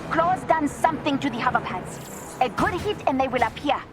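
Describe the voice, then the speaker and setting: A clever young princess inventor from a video game. She has a fairly high, earnest voice and an African accent, with music faintly behind. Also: expressive, normal speed. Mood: serious, urgent. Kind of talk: video game cutscene acting.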